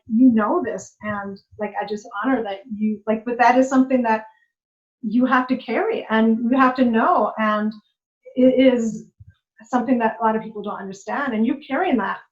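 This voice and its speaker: A woman guest in a personal conversation, reflective and affirming, filling her speech with "like" and "you know". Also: fairly high pitch. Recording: muffled lo-fi recording.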